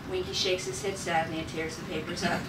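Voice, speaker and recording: A woman's voice: fairly low pitch, level tone, a little fast. A woman narrating stage directions from a script at a table read. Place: room at a group table read. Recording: echoey noisy room, far from mic.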